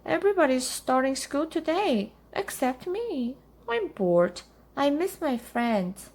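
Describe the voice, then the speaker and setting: A woman's voice: high-pitched, sing-song, takes it slowly, a little mellow, voice pushed up, exaggerated voice. A woman voicing a sweet, eager little witch girl, earnest and pleading, in a children's storybook. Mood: bored, whiny.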